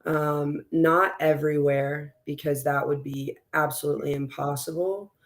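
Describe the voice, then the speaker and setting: A woman with a raspy, strained voice, a school board candidate talking as a guest in a relaxed interview, rambling with lots of fillers and restarts. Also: sits low, measured pace. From West Texas. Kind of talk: casual conversational interview.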